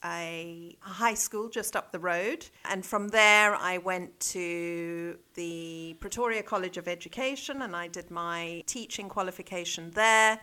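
Bright, lowish-voiced South African woman. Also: unhurried, hardly any pauses, clear, resonant tone. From South Africa, born in Zambia. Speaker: interview guest, head of a junior school. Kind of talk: reflective conversational interview.

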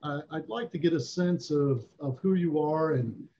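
A man hosting an interview, speaking in a halting, measured way as he introduces and questions a guest. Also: a little high, muffled recording, slight background noise. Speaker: interviewer, host.

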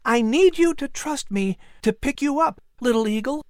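A man voicing an eager, gentle young boy with earnest wonder in a storybook. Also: very high-pitched, animated, normal speed, no room sound, studio quality, pitched above the performer's own voice. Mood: soothing.

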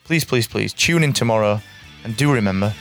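Croaky, straight-talking man. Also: lowish voice, brisk, soft background music. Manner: straight-talking, energetic, repeats for emphasis. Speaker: podcast host. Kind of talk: solo podcast monologue.

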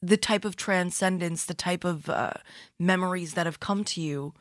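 A woman's voice: lowish voice, normal speed, no room sound. A woman hosting a radio interview in a studio, asking curious, eager follow-up questions in a conversational way. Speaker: radio host.

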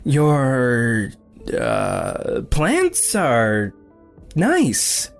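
Awkward nervous man, really slow and halting, pitch jumps around, audio drama. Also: huge pitch swings, creaky, exaggerated voice. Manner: halting, uneasy. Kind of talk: naturalistic audio drama dialogue. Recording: music underneath. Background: background music.

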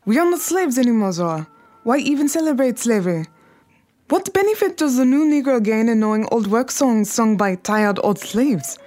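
A woman's voice: a little high, animated, moderate pace, a little mellow, close to an everyday voice. An actress playing an imperious, formal Harlem numbers queen in an audio drama, poised and commanding, dropping French phrases. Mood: cool, haughty.

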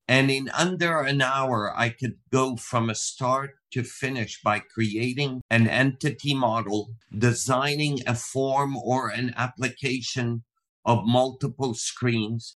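Measured corporate tech executive man. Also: medium pitch, a bit bright. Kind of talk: interview answers.

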